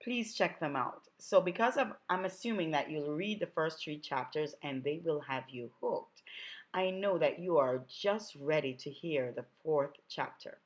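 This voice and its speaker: A low-voiced woman with a Jamaican accent reads aloud from her own novel. She is a little under the weather but chatty and enthusiastic. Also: measured pace. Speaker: author.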